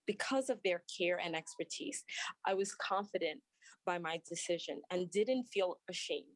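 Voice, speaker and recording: A young woman doctor reads earnest prepared testimony at a hearing, her voice low and steady. She stumbles and restarts now and then. The sound is dry and close, with no room echo. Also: moderate pace. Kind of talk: prepared testimony, read aloud. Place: at work, remote testimony.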